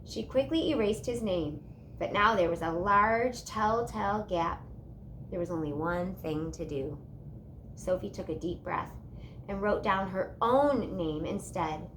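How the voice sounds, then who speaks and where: A woman reading a children's storybook aloud in an expressive narrating style. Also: mid-range voice, measured pace, a little hiss or hum, low-quality recording. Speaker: storybook reader.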